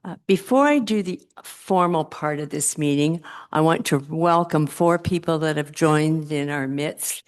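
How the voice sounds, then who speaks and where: A woman speaking loudly to a church council meeting in a meeting room, chatty and given to joking asides. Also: low-pitched, measured pace, few pauses. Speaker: committee presenter.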